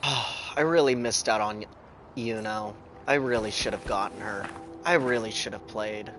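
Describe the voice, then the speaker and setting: A man's voice: lowish voice, fairly even intonation, measured pace, fairly bright tone. A man giving casual gameplay commentary as a streamer, half talking to himself with exclamations. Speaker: gaming streamer. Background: game music.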